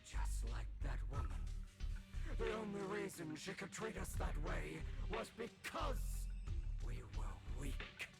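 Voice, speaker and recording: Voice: mid-range voice, sing-song, takes it slowly, creaky, exaggerated voice. An actor voicing a menacing, bitter inner demon in a video game, taunting in hissed whispers and fierce outbursts. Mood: commanding, bitter. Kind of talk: dramatic video game voice acting. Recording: loud background music from game.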